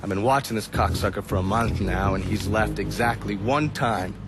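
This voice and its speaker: An actor playing a cynical, foul-mouthed informant in a video game cutscene, with a wry, crude, matter-of-fact delivery. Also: male voice, lowish voice, moderate pace, noisy background, lightly acted. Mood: wry briefing.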